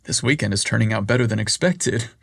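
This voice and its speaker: Man doing a calm reserved guy, low-key, dry close sound. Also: quick pace, creaky, dry recording. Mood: relaxed.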